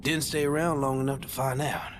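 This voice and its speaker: A man's voice: mid-range voice, level tone, a little fast, natural voice. Man as wry mutant ex-soldier over music. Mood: grave. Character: wry, principled male mutant ex-soldier who teleports. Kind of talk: video game cutscene acting. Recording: game music behind the voice.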